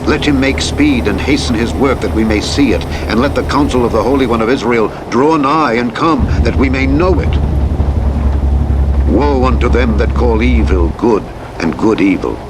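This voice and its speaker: A man reading scripture as a narrator in a solemn, measured, declamatory manner. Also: mid-range voice. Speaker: audiobook Bible narrator. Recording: engine and road noise over playback. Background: truck simulator vehicle noise.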